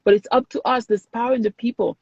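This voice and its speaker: A woman's voice: on the high side, fairly even intonation, fast talker, darkish tone, slightly rough, no echo. A woman from Haiti, talking earnestly and emphatically in a casual conversation, repeating words for weight. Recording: dry, dull lo-fi sound.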